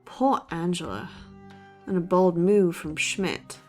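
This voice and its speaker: An actress playing a skeptical, dry-witted college student co-hosting a true-crime podcast in an audio drama. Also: female voice, lowish voice, slow pace, a little mellow, soft background music. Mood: skeptical, wry. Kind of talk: conversational audio drama acting as podcast co-host.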